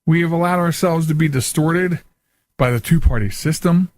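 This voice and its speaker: Higher-pitched American man hosting a podcast. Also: normal speed, darkish tone. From United States. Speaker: talk show host. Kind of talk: casual, unscripted podcast talk.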